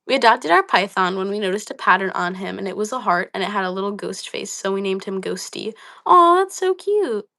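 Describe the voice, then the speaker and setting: A woman's voice: mid-range voice, animated, a little fast, creaky, few pauses, bright, forceful tone. A young woman with a slightly dry voice, chatting casually on a livestream, reading comments aloud with lots of ums and likes. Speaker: livestream host.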